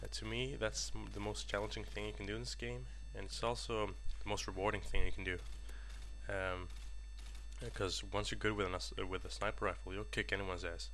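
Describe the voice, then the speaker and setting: A young man with a Swedish accent rambles through his game commentary, stumbling and correcting himself as he goes. His voice sits a little low but sounds bright and forward. A faint noise floor hums under the home mic. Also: flat delivery. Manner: halting, many ums and uhs, self-correcting. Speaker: gaming commentator. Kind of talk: casual gameplay commentary.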